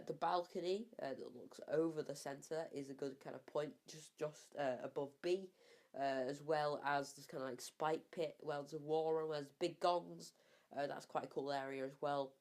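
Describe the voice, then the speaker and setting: A man's voice: on the high side, darkish tone. Young man with a cold, rambling. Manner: rambling, many fillers, repeats words for emphasis. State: has a cold and a slightly sore throat. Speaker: gaming YouTuber reviewing maps. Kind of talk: casual game review commentary.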